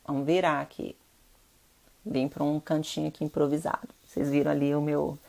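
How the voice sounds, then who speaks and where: A low-pitched Brazilian woman with a gravelly edge to her voice. She sounds stuffy and nasal from rhinitis and talks in a chatty way to the viewers of her live stream. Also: level tone, a little fast, darkish tone. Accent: Brazilian Portuguese. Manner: chatty, addresses viewers directly. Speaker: interior designer hosting a live stream. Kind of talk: casual live-stream talk.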